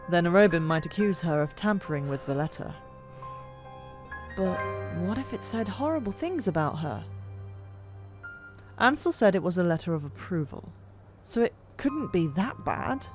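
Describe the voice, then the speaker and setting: Female audiobook narrator, lower register, dramatic fantasy storytelling with deliberate pauses, set over prominent music. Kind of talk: dramatic scripted fiction narration. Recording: music behind, older microphone.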